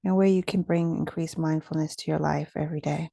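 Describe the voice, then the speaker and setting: A woman leading a guided meditation in a gentle, soothing, measured way, with pauses between phrases. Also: sits low, steady tone, creaky, dry recording.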